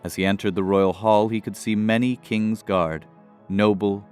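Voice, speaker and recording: A man's voice: low-pitched, clean tone. A man narrating a scripted fantasy audio drama as its storyteller.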